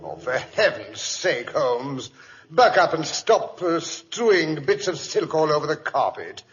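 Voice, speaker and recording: An older English gentleman, genial and a little fussy, with a British accent and a fairly high voice. He spins his tale in chatty old radio drama style. The sound is a dull, slightly noisy vintage recording. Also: big pitch swings, measured pace. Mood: fussy exasperation. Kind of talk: 1940s radio acting.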